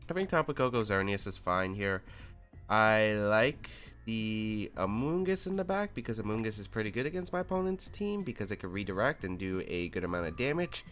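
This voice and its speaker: Man, gaming host, lowish clean voice, thinking out loud, slightly sick, faint music behind. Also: fairly quick. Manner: thinks aloud, analytical, relaxed with fillers. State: a little sick. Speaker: YouTube competitive gaming host. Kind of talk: casual gameplay commentary.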